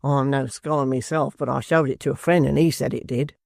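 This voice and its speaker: A man reading a storybook aloud, voicing a blunt, rough-spoken young brawler. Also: mid-range voice, fairly even intonation, brisk, darkish tone, no echo, studio quality, natural voice.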